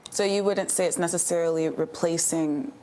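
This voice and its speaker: A woman's voice: on the low side, fairly even intonation, moderate pace, noisy background. A woman working as a reporter, asking interview questions in a friendly, attentive way.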